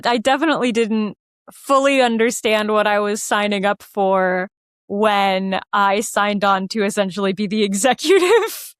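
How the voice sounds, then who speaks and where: Upbeat woman with a clear, smooth voice. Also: medium pitch, dry recording, clean studio sound. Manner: upbeat, chatty. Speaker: podcast guest, writer and performer. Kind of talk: casual interview chat.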